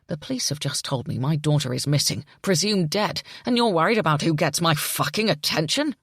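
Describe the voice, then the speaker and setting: Woman voicing a desperate father, pitched down, urgent and rough-edged, close dry read. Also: lively intonation, normal speed, no room sound. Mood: angry. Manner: urgent, shaky, pleading. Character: frantic grown man, a panicked father.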